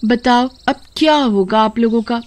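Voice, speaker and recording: A woman's voice: on the high side, moderate pace, a little mellow, voice pushed up, close to an everyday voice. A woman voicing a nosy neighbour woman who taunts with false sympathy. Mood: taunting. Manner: taunting, prying.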